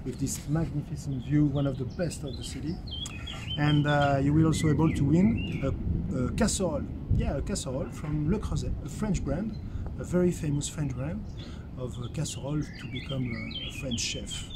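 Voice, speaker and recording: A man giving an enthusiastic promotional welcome, pausing with fillers as he searches for words. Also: a little high, very noisy. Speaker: tourism presenter.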